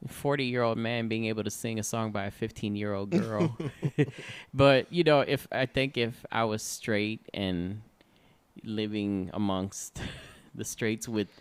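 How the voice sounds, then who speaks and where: A man's voice: fairly low pitch, fairly even intonation, slow, clear, resonant tone, no room sound. A man in his forties co-hosting a podcast, chatting casually and expressively, laughing often. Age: 40s. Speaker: podcast co-host. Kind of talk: casual chat.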